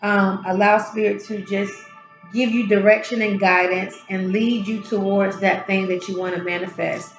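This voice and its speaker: Woman, slightly hoarse, gentle, encouraging, unhurried, dull roomy home recording. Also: mid-range voice, level tone, soft background music. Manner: soft, unhurried, encouraging, with drawn-out pauses. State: hoarse from straining her voice. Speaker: tarot and spiritual channel host. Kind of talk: casual spiritual encouragement talk. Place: her home healing space, indoors.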